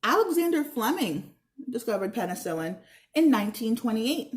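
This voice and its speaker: A woman's voice: a little high, sing-song, normal speed. A woman voicing a bookish, bickering know-it-all boy in a children's storybook, with a matter-of-fact, retorting manner. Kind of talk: children's storybook read-aloud.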